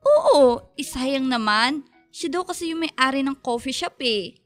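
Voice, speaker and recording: A young Filipina-accented woman, the loyal, pushy best friend in a radio drama. Her voice sits high and she talks in a chatty, matchmaking way. Light music plays underneath. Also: lively intonation, unhurried. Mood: excited, insistent.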